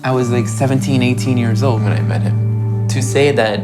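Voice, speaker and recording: A man's voice: medium pitch, normal speed. A man in his twenties from Brazil, talking candidly and laughing in a documentary interview. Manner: candid, laughing, chatty with fillers. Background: soft music score.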